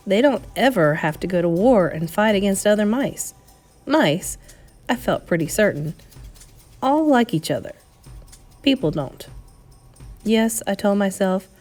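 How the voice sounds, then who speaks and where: Woman, storybook reader, expressive narration, animated intonation, music in the background. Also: mid-range voice. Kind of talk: expressive read-aloud narration of a children's story.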